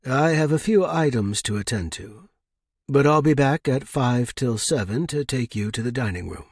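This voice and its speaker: A man's voice: mid-range voice, animated, normal speed, slightly rough, no echo, clean studio sound. A man voicing a discreet, deferential long-serving butler in an audiobook, formal and courteous. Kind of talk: audiobook character voice.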